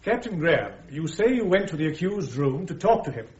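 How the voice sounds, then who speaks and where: An actor playing a formal, probing British army major prosecuting at a court-martial in a radio drama. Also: male voice, a little high, very animated, fairly quick, a little mellow. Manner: formal, precise, questioning. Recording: roomy, dull radio drama recording.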